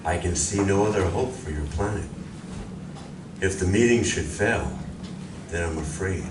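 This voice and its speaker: Solemn male spaceman, played by a man, very low and measured, radio drama from a distant mic. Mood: grave, earnest. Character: grave, earnest male spaceman visiting Earth. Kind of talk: live radio drama acting. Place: library community room, live performance. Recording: echoey community room, distant, noisy.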